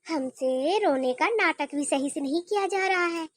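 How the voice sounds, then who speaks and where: A woman's voice: very high voice, normal speed, bright, forceful tone, dry recording. A woman playing a scheming elder daughter-in-law who fakes sympathy and whispers sly asides to the viewers in a homemade family drama skit.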